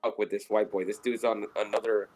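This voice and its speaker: A man's voice: fairly low pitch, flat delivery, brisk, darkish tone, slight background noise. A man with a Puerto Rican accent, co-hosting a podcast in casual, rambling, profane chat. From Puerto Rico. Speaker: podcast co-host.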